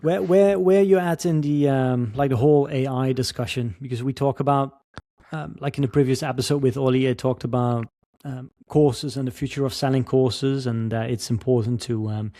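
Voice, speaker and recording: A man with a mellow, dark tone hosts a casual podcast interview and thinks aloud with plenty of fillers. His voice sounds dry and close to the mic. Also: mid-range voice, steady tone, moderate pace, few pauses, dry recording. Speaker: podcast host.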